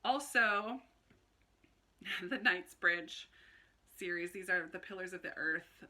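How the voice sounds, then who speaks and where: A woman's voice: fairly low pitch, slow pace, pushed and bright. A woman hosting a casual book vlog, chatting expressively to her viewers and often correcting herself as she goes. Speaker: book vlogger, channel host.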